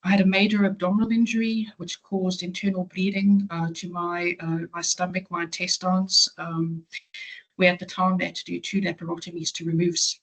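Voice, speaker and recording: A woman's voice: fairly low pitch, slightly rough, low-quality recording. A woman in her forties with a South African accent, a patient advocate giving a matter-of-fact webinar talk over a video call, with frequent ums. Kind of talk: webinar presentation.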